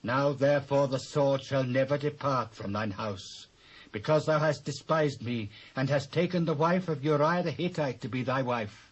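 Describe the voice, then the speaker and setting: A British actor playing a stern, solemn prophet in a dramatised Bible reading, speaking in measured, declamatory phrases. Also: male voice, medium pitch, close to an everyday voice, poor audio. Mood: stern, accusing.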